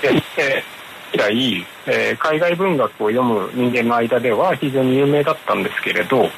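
A man's voice: mid-range voice, expressive, a little fast, gravelly, a bit bright, rough recording. A man from Japan answering interview questions as a publishing professional, hesitant and careful in his phrasing, over a hissy remote link.

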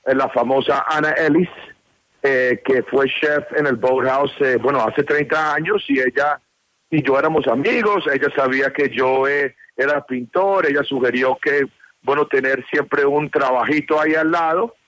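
A Colombian man in his sixties, interviewed on the phone, talking with many pauses and fillers. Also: medium pitch, fairly bright tone, dry recording, low-quality recording. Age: 60s. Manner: hesitant, many fillers and restarts.

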